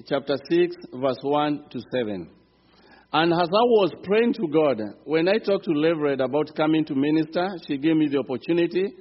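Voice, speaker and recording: A man's voice: on the high side, husky. A man from Kenya with a Kenyan accent, preaching as a visiting pastor in earnest, building fervor. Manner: earnest preaching, rising fervor, some halting restarts. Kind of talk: sermon. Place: church sanctuary. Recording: reverberant church hall, dull sound.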